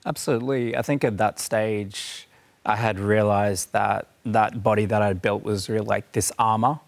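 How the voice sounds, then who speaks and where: Thirties male guest, low gravelly monotone, earnest; dry mic. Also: normal speed, no echo. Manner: earnest, reflective. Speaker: studio guest. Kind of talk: studio discussion. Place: large television studio.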